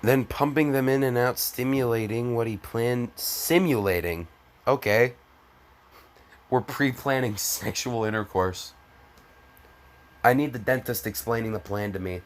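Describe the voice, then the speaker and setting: A man's voice: medium pitch, a little slow. A man giving a mocking dramatic reading, breaking off into crude, exasperated, sarcastic commentary. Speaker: comedic fan fiction reader.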